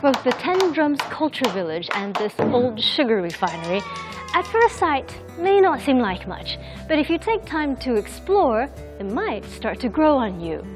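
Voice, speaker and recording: A woman's voice: sits high, big pitch swings, a little slow. Upbeat woman hosting travel show. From United States. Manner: upbeat, chatty tour-guide delivery. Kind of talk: scripted travel narration. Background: loud background music.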